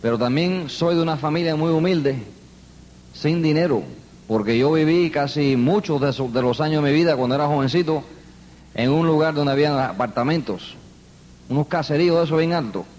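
An older man from New York tells his story to a live audience, with earnest, emphatic repetition. His voice sits a bit high for a man and carries a long reverb from a large hall. A faint ambient hum runs underneath. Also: a little fast, a little mellow. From New York, United States. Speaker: motivational speaker. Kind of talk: motivational speech to a live audience.

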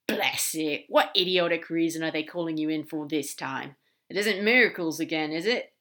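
A woman's voice: deep voice, lively intonation, normal speed, sharp tone, heavily acted. A woman voicing a brash, affectionate male demon in audiobook dialogue, blunt, casual and emphatic. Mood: indignant.

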